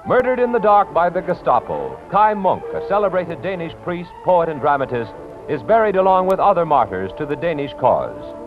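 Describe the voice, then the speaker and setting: A man's voice: a little high, wildly swooping pitch, moderate pace. An American man reading scripted 1940s newsreel narration in a solemn, dramatic announcer's manner. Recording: dull lo-fi 1940s newsreel soundtrack. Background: loud orchestral music.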